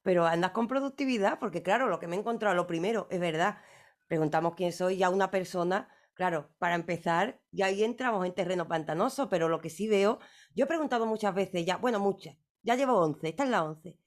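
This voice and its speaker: A woman's voice: lowish voice, fairly quick. A woman hosting an interview, chatty and rambling, with teasing remarks. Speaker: interviewer host. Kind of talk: casual interview talk.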